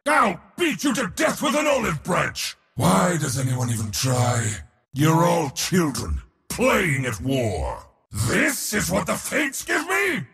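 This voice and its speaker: An actor playing an arrogant, bloodthirsty war god for a video game, with a pompous, declamatory delivery. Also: male voice, on the high side, huge pitch swings, slow pace, gravelly, fairly bright tone, big-room sound, heavily acted. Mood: scornful, impatient. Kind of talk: theatrical video game character acting.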